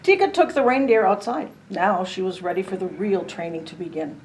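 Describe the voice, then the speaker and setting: A woman reads a picture book aloud for children. Her voice is medium in pitch, and she tells the story expressively, with a dramatic touch. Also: very animated, brisk, darkish tone, a little hiss or hum, low-quality recording. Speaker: storybook reader. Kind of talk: children's story read-aloud with chatty asides.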